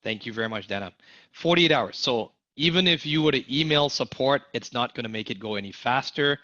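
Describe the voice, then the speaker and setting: Male instructor running a live online sales class, energetic and interactive, dry dull audio. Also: medium pitch, brisk, no echo. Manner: energetic, asks audience to type in the chat.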